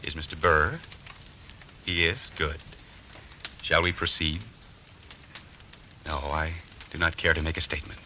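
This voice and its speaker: An actor playing an obsessed, volatile husband in an old-time radio mystery, with intense, theatrical delivery. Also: male voice, rumbling low, little pitch movement, unhurried, pauses often, bright, forceful tone, heavily acted. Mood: formal, dreamy. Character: obsessed, volatile husband dreaming of fighting a duel. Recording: old radio recording, faint noise.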